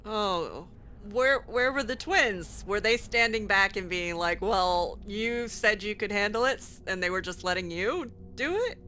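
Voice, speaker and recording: A woman's voice: medium pitch, expressive, a little slow, sharp tone, slight background noise. A woman speaking in character in an improvised roleplay conversation, reacting hesitantly and letting phrases trail off. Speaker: player voicing her character in a roleplay playthrough.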